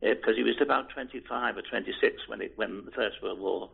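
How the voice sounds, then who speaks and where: Creaky old man on a phone line. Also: on the low side. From Liverpool, England. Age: 80s. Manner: hesitant, halting, with many restarts. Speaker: oral history interviewee. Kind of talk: rambling reminiscence. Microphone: telephone.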